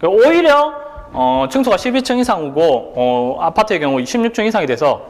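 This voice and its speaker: A man's voice: high-pitched, big pitch swings, normal speed, big-room sound. A man lecturing as an exam prep instructor, asking rhetorical questions and answering them himself, and often checking in with the listener. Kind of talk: online lecture.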